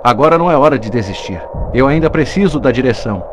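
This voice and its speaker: A Brazilian man playing a determined, wry investigator in a dubbed video game, with loud background noise. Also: mid-range voice, animated, quick pace, close to an everyday voice.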